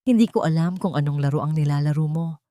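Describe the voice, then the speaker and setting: A woman's voice: deep voice, quick pace, warm tone, dry recording, clean studio sound, natural voice. Woman putting on a haughty, sneering rich woman rival. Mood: menacing. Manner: sneering, condescending, mixing English into Tagalog. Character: haughty, contemptuous wealthy young woman rival.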